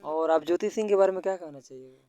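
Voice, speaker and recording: A man's voice: fairly high pitch, fast, warm tone. A man speaking on an informal recorded phone call, explaining things politely and at length. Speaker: YouTube news creator.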